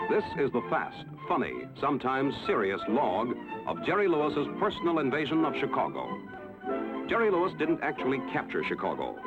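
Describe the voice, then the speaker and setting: A man narrating a 1960s newsreel in a measured, formal announcer style. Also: mid-range voice. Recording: tinny lo-fi old film soundtrack with music.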